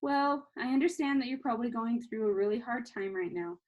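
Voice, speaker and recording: A woman's voice: on the high side, animated, fairly quick, muffled recording. A woman voicing a reluctant, awkward thirteen-year-old boy in a storybook, with a hesitant manner. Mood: earnest.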